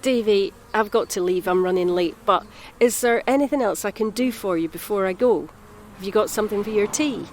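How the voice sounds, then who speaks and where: A woman playing a practical, kindly council carer in an audio drama, speaking in a gentle, coaxing, matter-of-fact way. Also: mid-range voice, a little fast, a little hiss or hum, natural voice. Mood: kindly, brisk.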